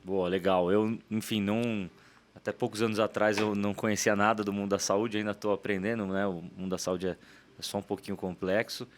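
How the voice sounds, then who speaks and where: A Paulistano man in his forties talks quickly and easily as an interview guest. His voice sits on the low side, and he keeps the talk moving with little tag questions. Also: little pitch movement. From São Paulo, Brazil. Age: 40s. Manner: quick, chatty, leans on "né" tags. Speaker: guest, startup CEO. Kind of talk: casual interview storytelling.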